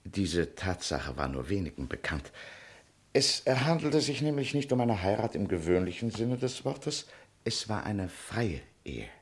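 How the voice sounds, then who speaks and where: A slick radio-drama lawyer with a lowish, husky voice. He talks formally and deliberately, insinuating as he presses his claim. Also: sing-song, exaggerated voice. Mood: discreetly insinuating. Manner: smooth-talking, formal, deliberate. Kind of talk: German radio play acting.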